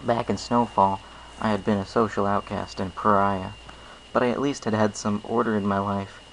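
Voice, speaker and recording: Low, gravelly man reading a story. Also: little pitch movement, moderate pace, slight background noise, low-quality recording. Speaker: amateur story reader. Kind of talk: first-person storybook narration.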